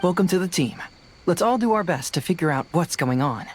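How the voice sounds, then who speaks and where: A man voicing a calm young detective in a video game dub, reasoning aloud in a deliberate way. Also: high-pitched, slight background noise.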